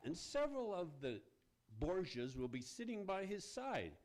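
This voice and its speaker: A man's voice: high voice, sing-song, normal speed, darkish tone. A man playing a florid, theatrical messenger of hell who declaims in rhyme, in an amateur radio play. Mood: grandly ominous. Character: male herald of hell, florid messenger summoning damned souls.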